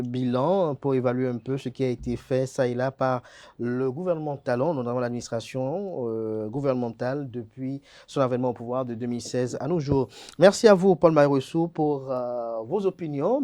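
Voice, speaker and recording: Man, mid pitch, formal TV debate moderator. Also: mellow. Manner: formal, stumbles while trying to cut in. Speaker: debate show host. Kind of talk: moderating a TV current-affairs debate.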